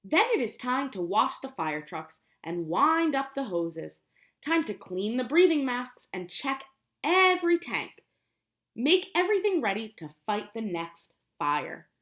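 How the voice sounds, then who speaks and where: A woman teacher reading a picture book aloud to young children, explaining simply and prompting them with questions in an expressive way. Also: on the high side, unhurried. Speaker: preschool teacher.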